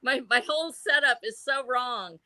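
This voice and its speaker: A woman's voice: high-pitched, lively intonation, normal speed, sharp tone, no room sound. A woman in her early sixties chatting casually and effusively as a guest on a panel show. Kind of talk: casual chat.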